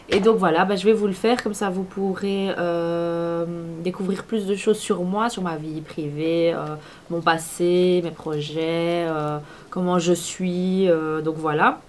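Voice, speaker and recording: Woman, 20s, lowish voice, chatty vlogger, lots of hesitations, light background hiss. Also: a little mellow. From Belgium. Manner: chatty, many fillers and restarts. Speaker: beauty vlogger. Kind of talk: casual talk to camera. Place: apartment at home.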